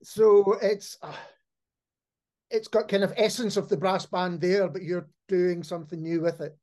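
A man's voice: high-pitched, flat delivery, unhurried, darkish tone. A man hosting a podcast, asking questions in a conversational interview style.

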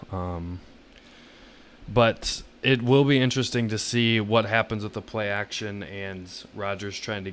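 A man co-hosting a sports podcast, giving measured, opinionated analysis in a casual, explanatory way. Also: fairly low pitch, a bit bright, slight background noise.

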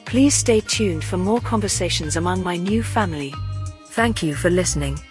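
A woman's voice: on the low side, animated, moderate pace, lightly acted. A woman voicing a composed ship's artificial intelligence, narrating a scripted audio drama series. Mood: composed, announcing. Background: music.

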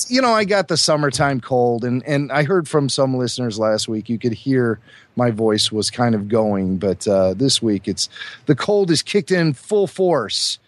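Hoarse male podcast co-host, chatty and trivia-loving; lively pitch, dry close mic. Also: a little slow, no room sound. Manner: chatty, enthusiastic, fond of trivia. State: hoarse from a cold. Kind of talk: casual chat.

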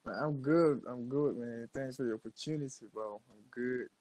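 Cameroonian man, mid-pitched, informal interview guest with slangy, brotherly phrasing. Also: normal speed, darkish tone, poor audio. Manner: informal, slangy, frequently says bro and man. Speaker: guest, digital artist. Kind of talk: casual interview chat.

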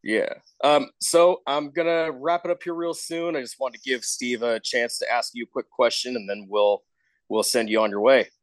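A man's voice: medium pitch, fairly even intonation, moderate pace, dry recording, low-quality recording. An American man hosting a casual interview, informal and encouraging in how he asks questions. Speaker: interviewer, show co-host.

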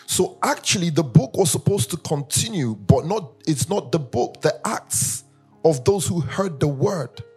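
A man from Nigeria preaching a sermon, telling humorous personal stories in a lively way that rises into sing-song emphasis. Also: a little high, slightly rough. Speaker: pastor.

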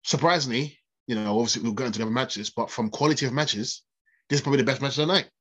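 A man's voice: medium pitch, racing, fairly bright tone, husky, rough recording. A man co-hosting a casual podcast while snotty with a cold, chatty and laughing often. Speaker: podcast co-host.

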